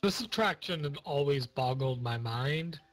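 Relaxed man chatting over faint music. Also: mid-range voice, a little slow. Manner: asks questions, relaxed. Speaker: marathon co-commentator. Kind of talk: casual chat.

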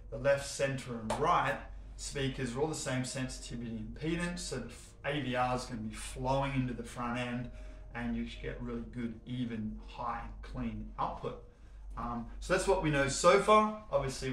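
A man's voice: mid-range voice, fairly bright tone. Echoey man presenting casually. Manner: enthusiastic, informal, explanatory. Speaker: home cinema showroom presenter. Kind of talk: casual product unboxing commentary. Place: showroom warehouse, indoors. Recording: echoey, reverberant indoor room.

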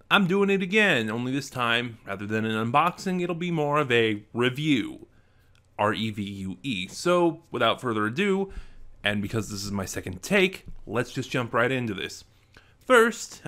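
A man's voice: medium pitch, pushed and bright. A young adult man hosting a casual vlog, talking chattily and conversationally into a desk microphone in a room at home. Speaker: vlog host. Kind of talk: casual unboxing and review vlog talk. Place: small home room, indoors. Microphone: desk microphone close in front.

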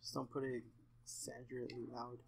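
Young man, casual let's play co-commentator, medium pitch with noticeable fry. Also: completely flat delivery, a little fast, slight background noise, low-quality recording. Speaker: gamer co-commentator. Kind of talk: casual chat.

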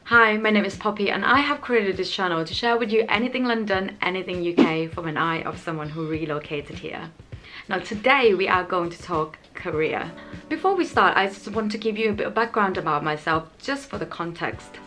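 A woman's voice: mid-range voice, animated, a little fast, a bit bright, soft background music. Young woman giving career tips. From Thailand. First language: Thai. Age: young adult. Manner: earnest, explanatory, non-native grammar slips. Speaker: channel host. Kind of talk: scripted advice vlog to camera. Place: indoor home room, small. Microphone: camera framing, head and shoulders.

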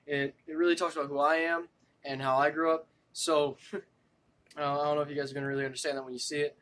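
A man's voice: a little high, level tone, fast talker, a bit bright, a little hiss or hum. Upbeat young man vlogging to his phone. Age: young adult. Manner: upbeat, chatty, addressing viewers directly. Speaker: spray paint artist and channel host. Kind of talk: casual vlog intro to camera. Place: indoor room. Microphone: phone camera at arm's length.

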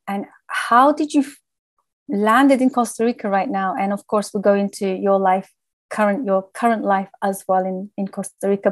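A woman's voice: mid-range voice, no room sound. Female podcast host, dry close sound. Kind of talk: podcast interview hosting.

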